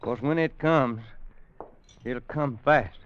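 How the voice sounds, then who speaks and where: An actor playing an older, plainspoken cowboy who narrates a radio western in a folksy drawl with slow, dramatic pauses. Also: male voice, mid-range voice, slight background noise, close to an everyday voice. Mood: tense, grave. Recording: muffled old-time radio recording.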